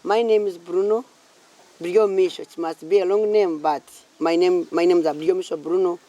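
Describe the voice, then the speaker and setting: A man of thirty-seven with a Ugandan accent, answering informally about his own life in halting, repetitive phrases. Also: sits high, steady tone, warm tone. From southwestern Uganda. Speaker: community project founder and church minister.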